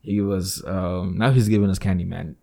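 A man co-hosting a podcast in casual chat, animated, leaning on words for emphasis. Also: deep voice, fairly quick, vocal fry. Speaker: podcast co-host.

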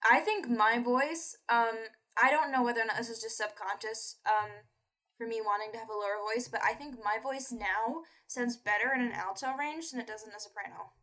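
A young woman playing a tabletop game, chatting bluntly and firing quick questions. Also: a little high, pushed and bright. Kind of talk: casual chat.

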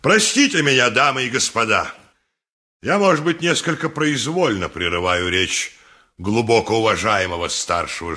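An actor playing a solemn, righteous high priest who declaims like a preacher in a radio play. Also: male voice, sharp tone. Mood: mock-courteous. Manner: rhetorical, declamatory sermonizing. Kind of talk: 1980s Soviet radio play acting.

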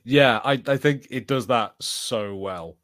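A man's voice: medium pitch, slow, fairly bright tone, dry recording. A man co-hosting a podcast, chatting casually in an informal, jokey way. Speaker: podcast co-host. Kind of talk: casual chat, episode review banter.

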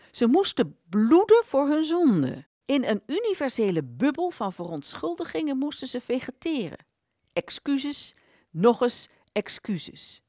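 A woman in a Dutch radio play voicing an aborted idea, a bitter vanished thought, telling its tale dramatically with slow emphases. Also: a little high, a little mellow, no echo, clean studio sound. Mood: impassioned.

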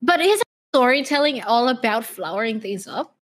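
A woman's voice: on the high side, expressive, moderate pace, a bit bright. A woman in her late twenties with a mixed Australian and American accent, chatting casually and teasingly as a podcast co-host. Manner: teasing, sweary, playful. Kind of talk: casual chat.

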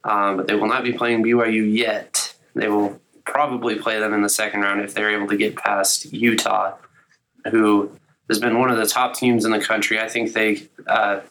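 Slightly raspy young man, flat voice. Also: lowish voice, normal speed, low-quality recording. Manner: conversational, many "uh" fillers. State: slightly raspy after illness. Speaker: co-host of a college sports podcast. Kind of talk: casual sports chat.

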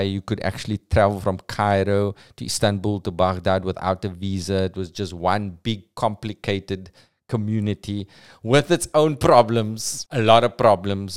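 A young man hosts a podcast and walks through history in a casual, joking way, dropping in slang as he goes. His voice is low and smooth. It sounds close-miked and dry, with no room echo. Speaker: podcast host.

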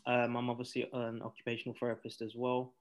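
A man's voice: mid-range voice, monotone, fast. A man who is an occupational therapist, a guest on a video-call podcast, talking in a casual, rambling way with fillers. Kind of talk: casual interview answer.

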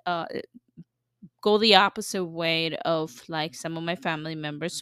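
A woman's voice: lowish voice, fairly bright tone, smooth, dry recording, studio quality. A woman from El Salvador hosting her own podcast, talking in an earnest, chatty way with plenty of fillers and restarts. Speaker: podcast host. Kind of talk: casual personal podcast monologue.

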